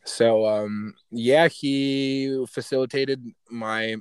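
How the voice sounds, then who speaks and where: A man's voice: medium pitch, steady tone, very slow, clean tone, no room sound. A young man from western Ukraine, a violinist guest, talking in a laid-back, slangy way with casual swearing. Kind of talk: casual interview chat. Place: outdoors by a road with passing cars.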